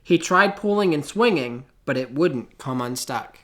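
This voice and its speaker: A man reading a children's picture book aloud in an expressive, theatrical way, with deliberate pauses. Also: a little high. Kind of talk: children's storybook read-aloud.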